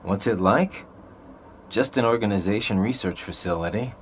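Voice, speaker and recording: A man's voice: on the low side, moderate pace, slight background noise, lightly acted. An actor playing an easygoing young man in a video game, talking casually with playful nonchalance. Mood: nonchalant. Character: breezy, easygoing young male comrade.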